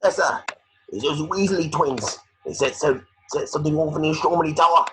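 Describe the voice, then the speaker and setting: A man's voice: on the high side, fairly quick, lightly acted. Man as spiteful caretaker, noisy mic. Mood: agitated. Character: gloating, spiteful older male school caretaker. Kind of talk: amateur online screenplay table read. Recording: noisy home microphone with clicks.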